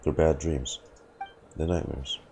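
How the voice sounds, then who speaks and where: A man's voice: a bass voice, fairly even intonation, slow, noisy background. A man streaming a game, reacting casually and musing aloud to himself, with faint game music behind him. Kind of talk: casual live playthrough reaction.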